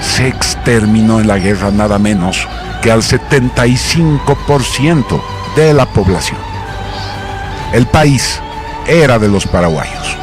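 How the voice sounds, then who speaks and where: A man narrating a radio drama from a script in a solemn, declamatory, dramatic way. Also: on the low side. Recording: loud music bed under the narration.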